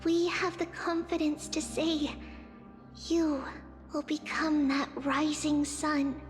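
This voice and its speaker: A gentle young game heroine, with a very high voice and extremely slow delivery. She sounds soft, solemn and hopeful, with music behind. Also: steady tone. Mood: tender, hopeful. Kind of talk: video game story voice acting.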